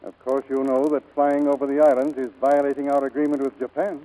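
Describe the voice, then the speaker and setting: An actor playing a grave, authoritative older admiral in a 1940s radio drama. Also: male voice, mid-range voice, little pitch movement, a little fast, warm tone, close to an everyday voice, low-quality recording. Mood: brisk, skeptical. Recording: vintage 1940s radio recording.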